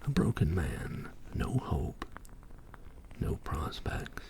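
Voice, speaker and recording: A man playing a hopeless, brooding detective in an ASMR bedtime story, breathy and halting. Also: sits low, sing-song, darkish tone, full character voice. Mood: bleak, brooding. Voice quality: breathy, creaky. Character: broken, hopeless male detective brooding on justice.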